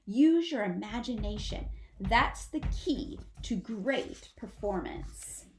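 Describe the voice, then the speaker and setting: A woman's voice: a little high, expressive, slow pace, darkish tone, slight background noise. A woman voicing a patient, protective mother possum who instructs her young in a children's storybook. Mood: encouraging. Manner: instructive, emphatic. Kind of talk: children's storybook read-aloud. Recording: roomy, echoing sound.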